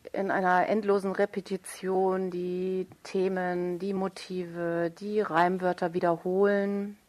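A woman speaking as an interviewed writer, thoughtful and articulate, searching for precise wording as she reflects on literature. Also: mid-range voice. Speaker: writer interviewed about own radio play.

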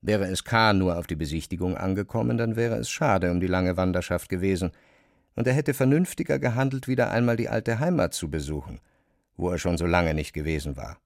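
Low, creaky male literary narrator. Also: animated, brisk, dry recording, clean studio sound.

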